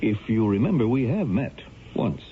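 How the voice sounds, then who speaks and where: An actor playing a reassuring doctor in a 1970s radio drama, articulate and authoritative. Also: male voice, on the low side, very animated, moderate pace. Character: measured, reassuring male doctor explaining a diagnosis. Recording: noisy muffled old radio recording.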